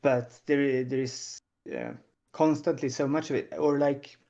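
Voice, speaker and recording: A man with a Swedish accent speaks slowly and hesitantly, pausing often. His voice is mellow with a gravelly edge. The audio comes through a slightly dull online call. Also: mid-range voice, steady tone. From Sweden. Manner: hesitant, many pauses and fillers. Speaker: panelist. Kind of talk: casual panel discussion.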